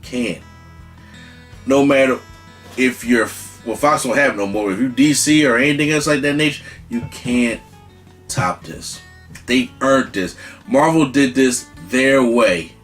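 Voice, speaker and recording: A man hosting his own channel, giving an excited spoken movie review with lots of restarts and emphatic repetition. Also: medium pitch, a little slow, soft background music.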